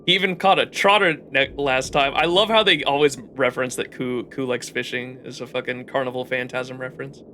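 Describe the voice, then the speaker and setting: A man's voice: medium pitch, steady tone, fairly quick, few pauses, pushed and bright, a little hiss or hum. A male live streamer reading game text aloud expressively, with his own commentary mixed in.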